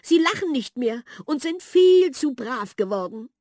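An anxious little female house mouse from a radio story for children, high and trembling as she pleads for help, as a woman reader puts her on. Her voice swings widely up and down and sounds husky and close. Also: sing-song, unhurried, a little mellow, dry recording, exaggerated voice. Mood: lamenting.

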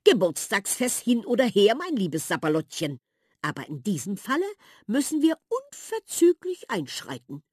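A kind, hearty king of a prankster folk who calls his people to help, as a woman reader voices him. The voice is lively and slightly husky, recorded close and dry. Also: mid-range voice, normal speed, no room sound, voice pushed up. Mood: rallying. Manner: hearty, rallying.

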